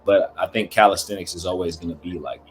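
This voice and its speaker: A man's voice: deep voice, fairly even intonation, quick pace, a little hiss or hum. A man in his late thirties speaking as a podcast guest in casual conversation, explaining his views in a reflective way. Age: late 30s. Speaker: podcast guest, hybrid athlete.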